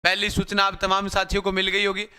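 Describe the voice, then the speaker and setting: Slightly hoarse, bright-voiced coaching teacher. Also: male voice, high-pitched, completely flat delivery, brisk. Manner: repetitive, addresses viewers as brothers. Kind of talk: live-stream class talk.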